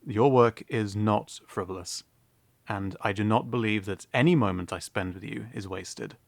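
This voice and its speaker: An actor playing an earnest, awkward young prince in a fantasy audio drama, speaking formally and stammering through apologies. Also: male voice, fairly low pitch, normal speed, vocal fry, no room sound.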